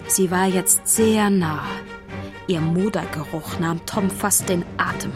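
Woman narrating a kids story, slow n measured, music behind her. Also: on the low side. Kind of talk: scripted children's story narration.

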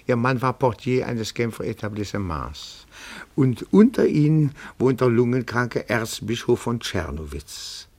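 An actor playing a timid, pedantic, fawning amateur detective in a radio play, formal and deferential in his speech. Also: medium pitch. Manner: formal, deferential, meticulous, hesitant. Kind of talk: theatrical radio play acting.

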